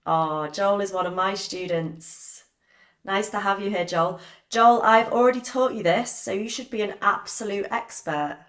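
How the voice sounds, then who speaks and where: A woman, hoarse from a cold, giving a live-streamed biology revision lesson and chatting with viewers by name. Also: mid-range voice, measured pace, fairly bright tone. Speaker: biology teacher.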